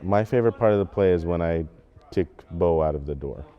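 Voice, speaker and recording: A man's voice: low voice, brisk, dull audio, very noisy. A teenage male student actor talking plainly and casually in an interview. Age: teens, high school student.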